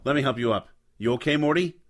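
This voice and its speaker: A man's voice: mid-range voice, fast, slight background noise, lightly acted. An actor playing a hard-boiled private detective who narrates his case in a noir audio drama. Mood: businesslike. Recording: music under the voice.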